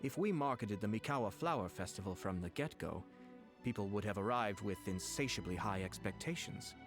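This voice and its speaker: Lowish, suave young lord, played by a man. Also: lively intonation, a little fast, music in the background, close to an everyday voice. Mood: suave, satisfied. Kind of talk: video game voice acting.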